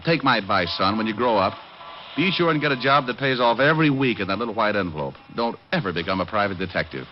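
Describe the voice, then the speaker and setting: A man's voice: fairly low pitch, animated, fast, slight background noise, lightly acted. A man acting a wry, breezy New York private detective in a 1940s radio drama, wisecracking as he goes. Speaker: lead actor and narrator. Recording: muffled vintage radio broadcast with music.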